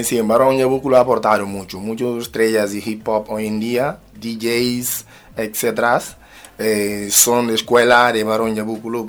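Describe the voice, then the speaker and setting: Halting man answering as interview guest. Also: on the low side. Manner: halting, repeats words and phrases. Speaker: musician and rapper, interview guest. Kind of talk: spoken radio interview.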